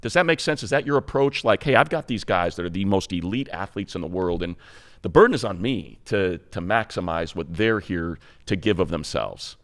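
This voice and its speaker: A man's voice: low-pitched, animated, brisk. An American man interviewing conversationally as a sports podcast co-host, wordy, rephrasing his questions as he goes. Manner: wordy, rephrases questions, voices imagined quotes.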